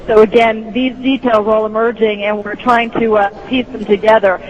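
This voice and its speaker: A woman's voice: on the high side, level tone. Careful female correspondent, dull lo-fi sound. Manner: careful, halting delivery. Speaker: news correspondent. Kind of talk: live breaking news report. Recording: dull lo-fi broadcast, faint noise.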